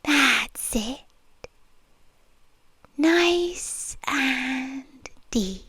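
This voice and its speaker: A woman's voice: sits high, animated, pushed and bright, breathy edge, dry recording, exaggerated voice. A British woman playing a sweetly obsessive nurse. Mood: whispery possessive. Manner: slow, intimate, teasing purr with frequent whispers. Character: obsessive possessive young female nurse, sweetly controlling. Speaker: ASMR roleplay creator. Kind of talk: ASMR roleplay, soft-spoken and whispered.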